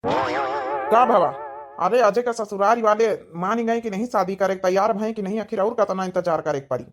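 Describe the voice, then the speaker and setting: A woman's voice: medium pitch, moderate pace, lightly acted. A woman with an Awadhi accent voicing an ageing village father who asks blunt questions in a cartoon story. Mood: inquiring. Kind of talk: amateur cartoon story dialogue.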